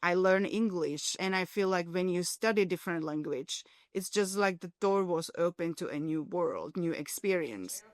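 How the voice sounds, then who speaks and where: A printmaking professor from Slovakia who speaks English with a Slovak accent and non-native grammar, talking earnestly in an interview. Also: female voice, fairly low pitch, animated, normal speed, few pauses, no room sound. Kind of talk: interview and classroom talk.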